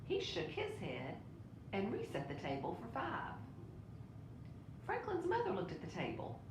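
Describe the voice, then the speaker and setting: Woman reading a picture book out loud, expressive, echoey room w rumbly noise behind. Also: a little slow. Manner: expressive, with brief asides to listeners. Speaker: storybook reader. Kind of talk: children's picture book read-aloud. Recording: roomy echo, clear low rumbling noise.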